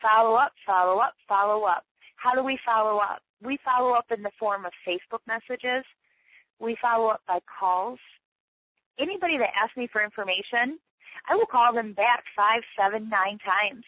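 A woman's voice: on the high side, moderate pace, dry recording. A woman leading a motivational sales training talk for her team, chatty and emphatic, often repeating her points. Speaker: direct-sales team leader. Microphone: phone call. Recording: tinny dry phone line.

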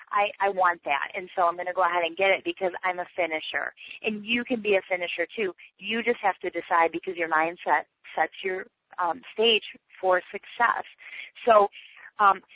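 A woman's voice: medium pitch, no room sound. A woman leading a motivational sales training talk for her team, chatty and emphatic, often repeating her points. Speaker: direct-sales team leader. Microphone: phone call. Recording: tinny dry phone line.

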